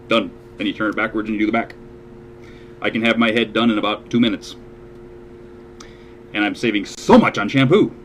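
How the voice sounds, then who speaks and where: A man's voice: on the low side, slight background noise. A man hosting a casual podcast, explaining things step by step with dry jokes. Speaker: podcast host.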